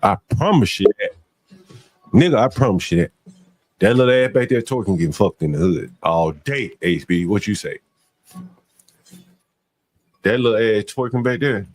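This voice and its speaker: A low-pitched American man hosts a casual reaction talk show. His delivery swings widely in pitch as he throws out slangy, profane commentary. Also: lively intonation, normal speed, long pauses, a little mellow.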